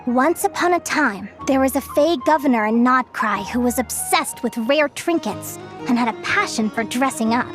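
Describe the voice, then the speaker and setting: High-voiced, gentle young woman storyteller. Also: lively intonation, music in the background. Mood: storytelling, composed. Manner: measured, articulate, enthusiastic about lore. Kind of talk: storytelling in an English game dub.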